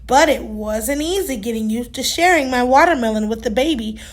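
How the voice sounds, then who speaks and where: Woman playing an eager, high-voiced little girl hippo. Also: fairly quick, lightly acted. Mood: thoughtful. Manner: childlike, exclaiming. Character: young female baby hippo, eager, worried, sweet.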